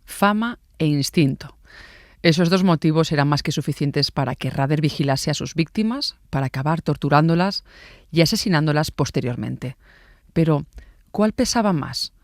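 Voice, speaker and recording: A man from narrating a true-crime podcast in a measured, scripted delivery. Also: on the high side, expressive, dry recording, studio quality.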